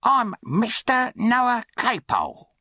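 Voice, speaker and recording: A man's voice: extremely high pitch, huge pitch swings, slow pace, bright, forceful tone, no echo, clean studio sound, pitched above the performer's own voice, exaggerated voice. A man voicing a big, self-important teenage bully who talks in a sneering, taunting, pompous way.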